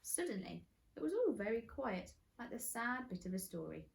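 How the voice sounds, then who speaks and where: A woman's voice: on the high side, sing-song, moderate pace, vocal fry. A woman narrating a children's story expressively, like a teacher reading aloud.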